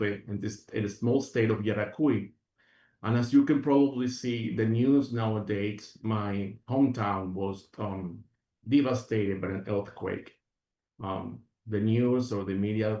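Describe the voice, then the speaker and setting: Earnest Venezuelan man, deep and echoey. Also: dark tone, far from the mic. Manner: earnest, rambling, many restarts and fillers. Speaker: guest, functional medicine practitioner. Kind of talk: reflective interview talk.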